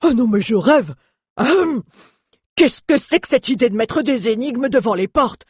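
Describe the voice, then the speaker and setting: A grumpy male dwarf acted out by a storybook reader, loud and indignant. His voice jumps up high and rough as he grumbles and exclaims with sarcastic scorn. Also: expressive, normal speed, no echo, clean studio sound. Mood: exasperated.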